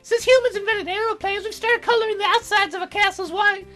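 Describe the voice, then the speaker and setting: A woman voicing a proud, earnest young cloud fairy girl in a high, girlish voice for a game. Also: steady tone, brisk, sharp tone, faint music behind. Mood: earnest, matter-of-fact.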